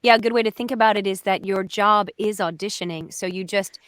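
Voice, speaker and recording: A woman's voice: medium pitch, fairly even intonation, a little fast, a bit bright, dry recording, clean studio sound. A woman giving casual advice to fellow voice actors in a panel chat. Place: indoors on a video call.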